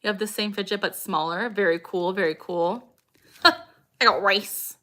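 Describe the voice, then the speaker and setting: A woman's voice: medium pitch, moderate pace, pushed and bright. A young woman in her twenties hosting a casual livestream Q&A. She reads viewer questions aloud and greets people by name, with frequent vocal tics and bursts of laughter. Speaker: livestream host.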